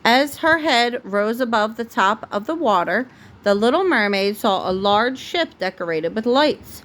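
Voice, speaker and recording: A woman's voice: a little high, expressive, unhurried, a bit bright. A woman reading a storybook aloud as a mother does for her young child, recorded at home. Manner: breaks off to answer the child patiently. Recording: home recording with clear background noise.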